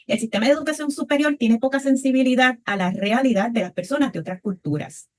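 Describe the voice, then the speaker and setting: Puerto Rican woman panelist, thoughtful and steady, voice on the high side. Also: quick pace. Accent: Puerto Rican Spanish. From Puerto Rico. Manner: reflective, frequent tag questions. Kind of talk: panel discussion answer.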